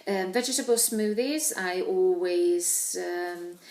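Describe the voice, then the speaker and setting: A woman's voice: lowish voice, slow pace, a little mellow. A woman coach whose first language is Hungarian, giving informal nutrition advice to camera with many fillers and restarts. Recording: echoey room.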